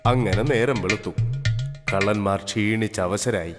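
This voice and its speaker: Male children's story narrator: fairly deep, reverberant, over clear background music. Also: a little slow. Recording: long hall reverb, music bed.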